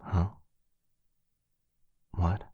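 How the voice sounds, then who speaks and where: A man playing a tender, protective vampire lover in an intimate boyfriend ASMR roleplay, hushed, breathy and soothing, close to the mic. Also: extremely slow, no echo, lo-fi sound. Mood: gentle, affectionate. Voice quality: breathy, husky whisper. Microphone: close, dry microphone.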